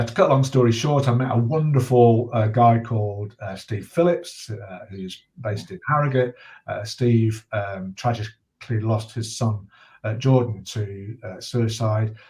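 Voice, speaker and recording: A man in his sixties speaking as an interview guest, earnest and reflective, choosing his words hesitantly with pauses. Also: on the low side, mellow. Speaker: guest, retired journalist and charity campaigner.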